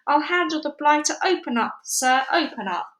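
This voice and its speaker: A woman's voice: high-pitched, expressive, moderate pace, a bit bright, pitched above the performer's own voice. A woman voicing a blustering, pompous old male duke who bellows his threats, in an echoey room.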